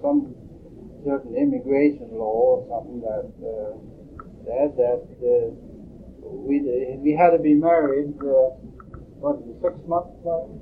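Older man, slow halting tape recording. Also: medium pitch, lively intonation. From Norway. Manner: halting, many pauses and fillers. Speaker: interviewee. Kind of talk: oral history interview. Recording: tinny lo-fi tape, faint hiss.

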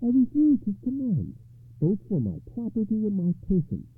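Storybook enchanted monster bride played by a man: high-pitched, stately, bold and knowing, husky. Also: huge pitch swings, moderate pace, no room sound, exaggerated voice. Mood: bitter, defiant. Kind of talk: storytelling character voice. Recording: muffled phone-like narrow-band sound.

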